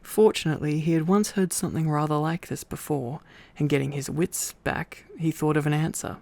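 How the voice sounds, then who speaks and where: A man reading a bedtime story in a sleepy, informal ASMR style, with relaxed rambling asides. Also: a little high, fairly quick, vocal fry, no echo.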